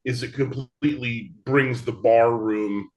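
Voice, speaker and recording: A man speaking as an interview guest, explaining things in a measured, conversational way. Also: mid-range voice, fairly even intonation, a little mellow. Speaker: interview guest, theatre show creator.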